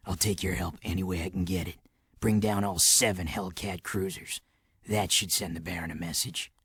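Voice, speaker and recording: A man playing a gruff, sardonic, commanding underground resistance leader with a husky voice in a video game. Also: low voice, steady tone, moderate pace, a bit bright, no echo, heavily acted. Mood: weary, sardonic. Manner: terse, commanding. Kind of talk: video game cutscene acting.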